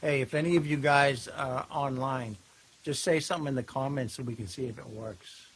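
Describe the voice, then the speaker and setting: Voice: medium pitch, steady tone, normal speed, slight background noise. A band member chatting casually, adding short remarks and corrections and speaking to viewers. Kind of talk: casual chat.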